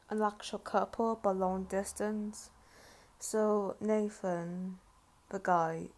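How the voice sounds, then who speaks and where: A woman hosting a reaction video, talking casually in a hesitant, halting way. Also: mid-range voice, warm tone.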